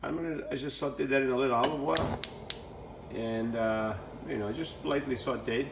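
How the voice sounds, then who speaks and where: A man's voice: medium pitch, fairly even intonation, moderate pace, gravelly, very noisy. Rambling male chef. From Portugal. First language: Portuguese. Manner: rambling, many fillers and restarts. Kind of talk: home cooking demonstration.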